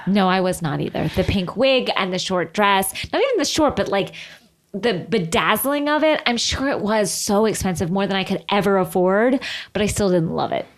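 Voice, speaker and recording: A woman's voice: medium pitch, normal speed. A woman co-hosting a podcast, chatting casually and comedically about reality TV with a sarcastic manner and mocking little imitations. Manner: animated, sarcastic, swears and mimics others. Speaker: podcast co-host.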